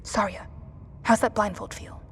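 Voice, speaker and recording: A woman's voice: medium pitch, steady tone, moderate pace, a bit bright, breathy edge. A woman playing a tense, protective survivor who gives firm, clipped instructions in an audio drama. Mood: hushed, careful. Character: tense, commanding woman protecting her companions in disaster.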